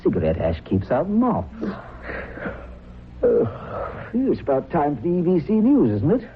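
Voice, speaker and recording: An actor playing an easygoing, wry husband and investigator in a vintage radio drama, casual and expressive. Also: male voice, on the high side, measured pace. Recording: tinny, noisy old radio recording.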